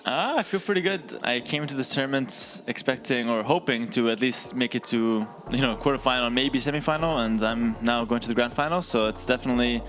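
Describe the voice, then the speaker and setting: A man giving a modest, soft-spoken post-match interview. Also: mid-range voice, a little fast, few pauses. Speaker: pro gamer interviewee.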